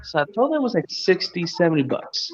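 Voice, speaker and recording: A man's voice: sits high, sing-song, quick pace. A man from Cuba hosting a casual livestream, rambling and joking, chuckling as he talks. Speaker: livestream host. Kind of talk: casual chat. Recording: dull lo-fi stream audio.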